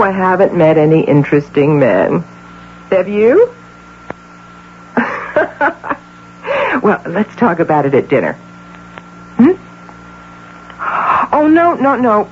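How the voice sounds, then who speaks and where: Casting: brisk, sharp-tongued female agency boss, middle-aged, theatrical radio drama delivery, muffled noisy broadcast sound. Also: lowish voice. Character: high-powered, sharp-tongued middle-aged agency president and doting mother.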